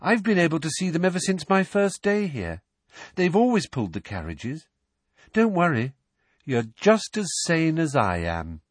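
A man reading a dreamy, calm teenage girl in an audiobook, speaking softly and serenely. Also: a little high, normal speed, no room sound, voice pushed up.

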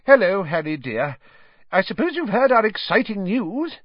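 A bossy middle-aged mum played by a male reader in an audiobook, pitched high and swooping as she briskly scolds and beams with pride. The recording is roomy and a little dull. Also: big pitch swings, breathy edge, exaggerated voice. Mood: proud. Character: bossy, proud, sharp-tongued middle-aged mother. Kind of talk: audiobook character voice.

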